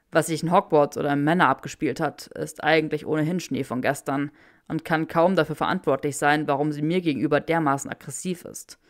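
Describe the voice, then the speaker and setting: A woman reading a fan fiction story aloud in first-person narration. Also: low-pitched. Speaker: audiobook reader.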